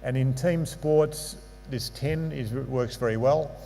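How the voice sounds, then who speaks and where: A man's voice: mid-range voice, slow, creaky, mellow, soft background music. Man lecturing in a reverberant hall. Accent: non-local English accent. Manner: explanatory, with dry asides and fillers. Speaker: sports medicine lecturer. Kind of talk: conference lecture with slides. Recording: hall reverb with mains hum.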